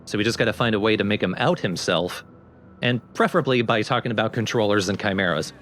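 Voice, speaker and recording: A man's voice: fairly low pitch, fast, a bit bright, a little hiss or hum. A man hosting a let's play with casual, explanatory game commentary.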